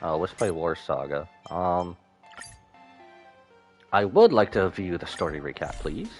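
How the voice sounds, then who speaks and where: A man giving casual live commentary as a game streamer, rambling and animated, with jokey asides. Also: low-pitched, measured pace, soft background music.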